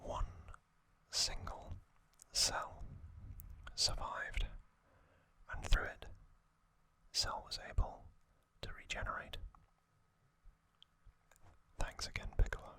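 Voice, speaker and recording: A man whispers an ASMR recap with no voiced tone at all. His delivery is very slow and deliberate, broken into short phrases with pauses. The whisper is breathy and crisp on top, staying even throughout. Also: low-quality recording. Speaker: ASMR host.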